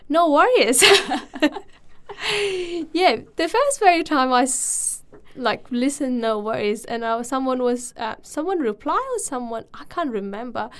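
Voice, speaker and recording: Woman, young adult, from Nepal, casual podcast chat, halting fillers, laughs a lot. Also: high-pitched, expressive, a bit bright, clear, resonant tone. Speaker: podcast co-host. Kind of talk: casual conversation.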